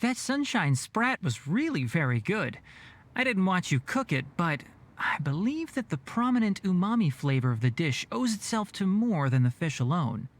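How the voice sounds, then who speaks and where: An actor playing a studious young man who reasons out loud in a measured, analytical way in a game. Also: sits high, sing-song, a little hiss or hum. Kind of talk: game voice acting.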